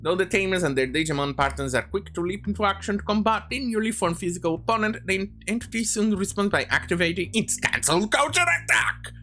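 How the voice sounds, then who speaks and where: Sarcastic youtube rant commentator, sweary n halting, super up and down pitch, bit of guitar music behind. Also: animated, sharp tone. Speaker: online commentator. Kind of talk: opinionated rant commentary, reading quotes aloud.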